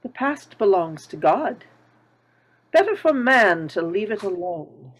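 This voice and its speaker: A woman's voice: medium pitch, very animated, takes it slowly. A woman reading a shrewd, haughty elderly landlady at a table read, probing with each question.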